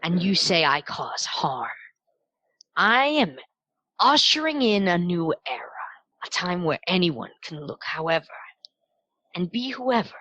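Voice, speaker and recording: A woman voicing a proud, bitter androgynous half-elf villain in a tabletop game, speaking theatrically with deliberate pauses and breathy dips into whispers. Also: lowish voice, a bit bright, dry recording, dull audio, exaggerated voice. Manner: slow deliberate pauses, disdainful monologuing.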